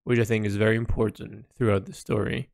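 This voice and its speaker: A man thinking aloud in an audio log about the story he is writing and illustrating, halting and restarting often. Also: lowish voice, steady tone, creaky, darkish tone, no echo, clean studio sound. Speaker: creator discussing his illustrated story project. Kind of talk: casual spoken audio log.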